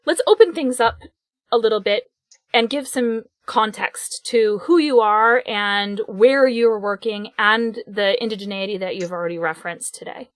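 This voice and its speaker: A woman's voice: medium pitch, normal speed, no echo. A woman hosting an interview, asking her guest conversational questions. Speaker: podcast host.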